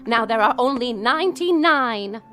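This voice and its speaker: A woman's voice: fairly high pitch, lively intonation, normal speed, sharp tone, soft background music. An actress playing a long-suffering, sardonic wife who stands her ground in a radio drama. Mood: fed up. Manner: insistent, sardonic.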